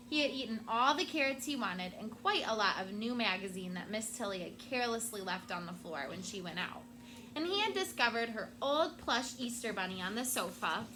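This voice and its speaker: A woman teacher reading a picture book aloud to her class with expressive storytelling, stopping now and then to explain a word. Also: fairly high pitch, background noise.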